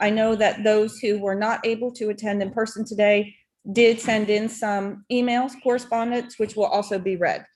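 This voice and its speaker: A woman's voice: mid-range voice, little pitch movement, darkish tone, poor audio. Woman chairing a town hearing. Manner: plain-spoken and procedural, with filler pauses. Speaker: mayor chairing the board meeting. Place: town meeting room.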